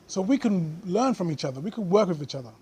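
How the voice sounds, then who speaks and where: Brief: male vlogger, slightly high and husky voice, earnest unscripted commentary. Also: animated, darkish tone. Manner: earnest, thoughtful, with frequent pauses and fillers.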